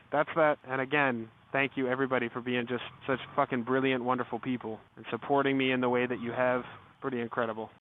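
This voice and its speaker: A man with a light Southern US drawl talking to camera outdoors in a rambling, casual way and cursing freely. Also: medium pitch. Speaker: motorcycle travel vlogger. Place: outdoors near a levee. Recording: tinny phone-like sound with loud background noise.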